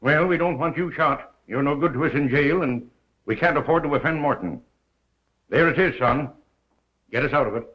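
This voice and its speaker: An actor playing an exasperated, sarcastic newspaper boss in a 1930s film, brisk and scolding. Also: male voice, fairly high pitch, natural voice. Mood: insistent. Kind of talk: 1930s Hollywood film acting. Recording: dull 1930s film soundtrack.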